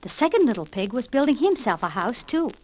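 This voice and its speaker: Lady reading a kids story on an old record, highish voice, really lively and expressive. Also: fairly quick. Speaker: storybook narrator. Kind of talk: scripted children's read-along narration. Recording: 1960s vinyl record with hiss and pops.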